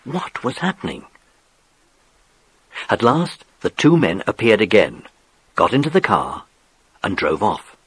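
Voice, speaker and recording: Husky, expressive male storyteller. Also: lowish voice, a little slow, long pauses. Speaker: narrator. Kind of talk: scripted storybook narration.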